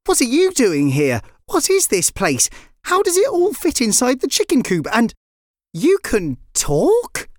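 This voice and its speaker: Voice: high voice, huge pitch swings, unhurried, a little mellow, no room sound, clean studio sound, pitched above the performer's own voice, full character voice. A storyteller voicing a curious, puzzled young girl in a children's story. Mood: incredulous.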